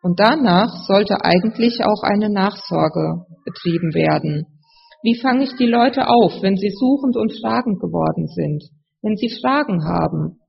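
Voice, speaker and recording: Preacher with a slight cold, gravelly and measured, explaining scripture; muffled sound with long hall reverb. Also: medium pitch, animated. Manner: measured, explanatory, speaking to a congregation. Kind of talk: sermon with scripture reading. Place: large reverberant room, live address.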